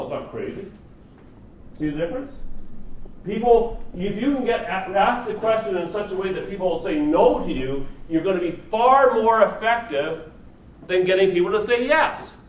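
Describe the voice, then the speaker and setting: A man with a somewhat high voice presents an informal training seminar. He talks conversationally, tossing questions out to the room. The sound is echoey and distant, with faint noise behind him. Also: animated, normal speed. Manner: conversational, rhetorical questions to the audience. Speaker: seminar trainer teaching negotiation and NLP. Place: live seminar room with an audience.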